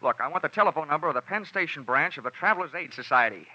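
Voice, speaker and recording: An American actor playing a tough, wisecracking insurance investigator with a wry, snappy delivery in an old radio detective drama. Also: male voice, on the high side, animated, rapid-fire, sharp tone, a little hiss or hum. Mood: wry narration. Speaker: lead character and narrator. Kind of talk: 1950s radio detective drama, hardboiled narration. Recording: dull vintage radio broadcast recording.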